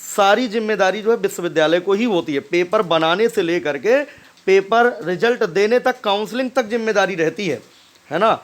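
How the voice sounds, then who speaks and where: Man, high voice, informal explanatory commentary, insistent, admonishing, frequent check-in tags. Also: normal speed. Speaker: host commenting on a recorded phone call.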